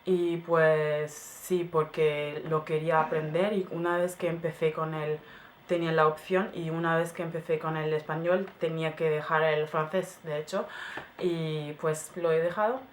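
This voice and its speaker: A woman's voice: sits low, level tone, normal speed, slight background noise. A young woman from Germany casually talking about her languages, thinking aloud with many fillers. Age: young adult. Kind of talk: casual multilingual self-introduction. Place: her home in France.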